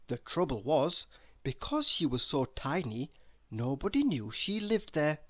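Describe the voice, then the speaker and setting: Children's read-aloud narrator: bright tone, animated pitch, slow and deliberate delivery. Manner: deliberate, expressive storytelling. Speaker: storybook reader.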